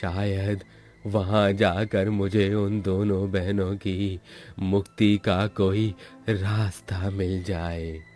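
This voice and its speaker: A man voicing a kindly, determined old village man in a cartoon, speaking in measured, halting phrases. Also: deep voice, little pitch movement, a little mellow, soft background music. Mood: concerned.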